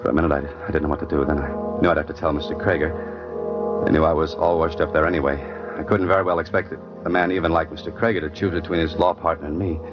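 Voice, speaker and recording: A man's voice: very deep voice, steady tone, fast. A man playing an earnest, uneasy young office clerk in an old radio drama, hesitant, polite and plain-spoken. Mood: tense, confessional. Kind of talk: 1940s radio drama acting with first-person narration. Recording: muffled old radio recording with music.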